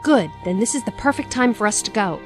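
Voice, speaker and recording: A woman's voice: on the high side, expressive, a little fast, music in the background, lightly acted. A woman playing a practical young adventurer in an audio drama, reasoning in a matter-of-fact way. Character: level-headed, practical young female adventurer.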